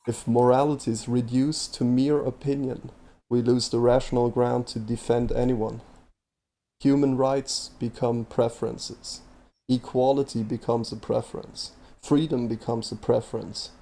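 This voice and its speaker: A man from Switzerland with a Swiss German accent, reading a prepared presentation in a measured way. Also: mid-range voice, fairly even intonation, dark tone. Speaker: video presenter. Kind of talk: scripted presentation.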